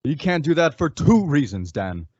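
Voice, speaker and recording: An actor playing a calm, resolute western hero in an old-time radio style, measured and instructive. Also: male voice, on the high side, no echo, muffled recording.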